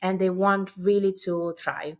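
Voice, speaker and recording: Woman, Italian accent, interview guest, lowish voice, halting, searching for words. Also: steady tone, darkish tone. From Italy. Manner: halting, many filler sounds, searching for English words. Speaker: startup CEO guest. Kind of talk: conversational interview.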